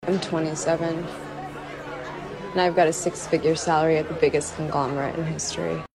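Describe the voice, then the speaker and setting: A woman's voice: sits low, flat delivery, a little fast, crowd noise. Female film clip line, confident and matter-of-fact, urban noise behind.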